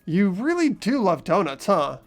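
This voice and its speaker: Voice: medium pitch, big pitch swings, moderate pace, soft background music. A performer voicing a mild, earnest teenage schoolboy who narrates and chats in a fan-voiced visual novel game. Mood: bemused.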